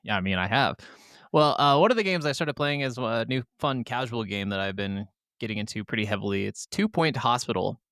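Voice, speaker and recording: A man's voice: medium pitch, expressive, a little fast, fairly bright tone, dry recording, studio quality. A man co-hosting a podcast, chatting casually about video games. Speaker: podcast co-host.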